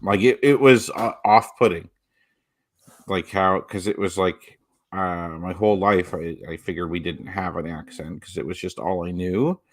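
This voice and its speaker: A Canadian-accented man with a very deep voice, co-hosting a podcast in a hesitant, halting way full of restarts. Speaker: podcast co-host. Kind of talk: casual podcast chat.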